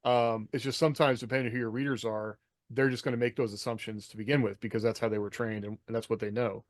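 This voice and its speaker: A man's voice: medium pitch, fairly even intonation, fast, dry recording, clean studio sound. A man in a casual podcast discussion, reflective and thinking aloud as a co-host. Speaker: podcast co-host, military veteran. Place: indoors, video call.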